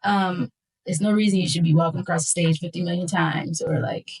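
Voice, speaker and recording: A young woman from West Philadelphia, a singer guest, talking in a relaxed conversational way with frequent fillers. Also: on the low side, brisk, husky, rough recording. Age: 20s. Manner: relaxed, lots of fillers like um and you know. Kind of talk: casual conversation.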